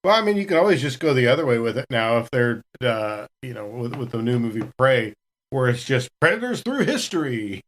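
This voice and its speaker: A man's voice: medium pitch, very animated, a little fast. A man on a podcast, chatting casually and recounting anecdotes as one of the cast. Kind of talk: casual chat, telling anecdotes.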